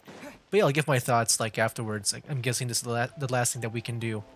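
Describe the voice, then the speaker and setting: A man's voice: mid-range voice, fast, a bit bright, slight background noise. A young adult man giving casual livestream gameplay commentary as a game streamer. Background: game music.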